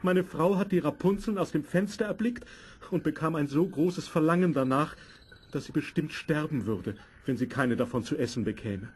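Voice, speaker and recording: Husky, earnest, fearful husband. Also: male voice, on the high side, normal speed. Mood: pleading. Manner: earnest, pleading, dramatic. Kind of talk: German fairy-tale audio play acting.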